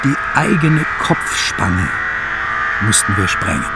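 A man's voice: lowish voice, big pitch swings, takes it slowly, over loud music, low-quality recording. A man acting in a radio drama as an embittered scholar, a preacher's son, reminiscing with sardonic, theatrical mockery. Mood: wry, reflective. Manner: sardonic, theatrical, quotes others mockingly. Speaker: interviewee.

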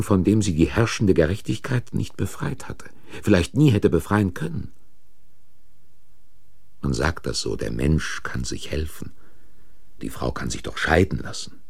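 An earnest, troubled confidant in a radio crime play. His voice is deep and husky with animated swings in pitch. He sounds searching and urgent, as narrator and schemer alike. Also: no room sound, clean studio sound, heavily acted. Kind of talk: German radio crime drama acting and first-person narration.

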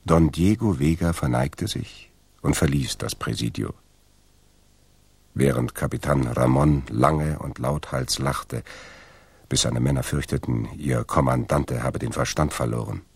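A man with a gravelly voice narrating a scripted story as part of a radio drama. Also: a bass voice, a little slow, no room sound. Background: plucked guitar music.

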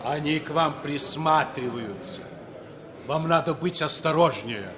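An actor playing a suave, cautious male spy contact, measured and composed, in a 1960s radio play. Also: on the high side, lively intonation, crowd noise. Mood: discreet. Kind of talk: 1960s radio drama acting.

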